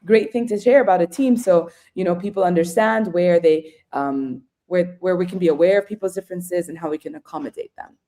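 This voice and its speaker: A woman's voice: fairly low pitch, brisk, hardly any pauses, warm tone. A woman explaining ideas on a webinar, often checking in with listeners. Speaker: webinar guest.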